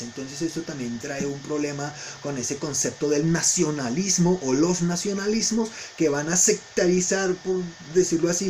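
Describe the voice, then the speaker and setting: A man's voice: on the high side, mellow, a little hiss or hum. A man teaching a history lesson to students in an informal lecture style, explaining didactically and often checking in with short questions.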